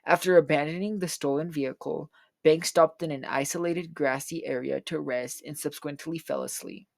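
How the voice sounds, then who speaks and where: A man reading a true crime script aloud in an even, steady way, then chatting casually. He says he is losing his voice and feels like he is getting sick. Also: on the high side, normal speed, creaky, dry recording, clean studio sound. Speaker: true crime video creator. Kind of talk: read true crime narration with a casual outro.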